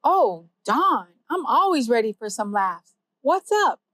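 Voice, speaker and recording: A quick, jokey AI sidekick with a bright, high voice, firing off punchlines in a playful rush, as a woman puts it on. The sound is dry and close. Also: big pitch swings, no room sound, full character voice. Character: fast, snappy wisecracking comic AI sidekick.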